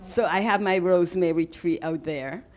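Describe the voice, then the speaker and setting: A woman's voice: lowish voice, moderate pace, a little mellow. A woman with a Caribbean accent chatting playfully on a panel, repeating words for emphasis. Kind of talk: lively panel chat. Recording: dull, slightly muffled sound.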